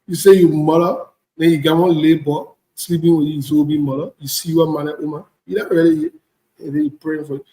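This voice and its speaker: A man's voice: on the high side, fairly quick, dark tone. Indignant West African man in an echoey room. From Ivory Coast. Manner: indignant, blunt, repeats phrases for emphasis. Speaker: online political commentator. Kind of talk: unscripted political rant.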